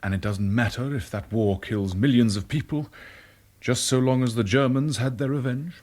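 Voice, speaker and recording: Actor as husky, embittered former British agent. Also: male voice, medium pitch, normal speed, darkish tone, natural voice. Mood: bitter, accusing. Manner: blunt, terse, accusing. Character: embittered former British wartime agent, blunt, stubborn, principled. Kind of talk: naturalistic radio drama acting.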